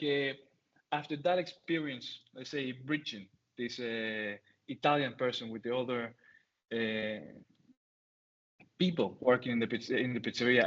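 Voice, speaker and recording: A man's voice: medium pitch, fairly bright tone. Venezuelan man with a Spanish accent, hesitant talk, full of fillers and restarts, muffled video call sound. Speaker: guest speaker. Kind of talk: online talk.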